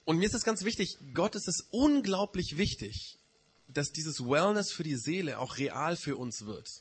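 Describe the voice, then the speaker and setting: A man's voice: on the high side, animated, moderate pace, husky. A man with a slight head cold gives an informal sermon, explaining and often rephrasing his key points. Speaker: preacher. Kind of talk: informal sermon to a church community.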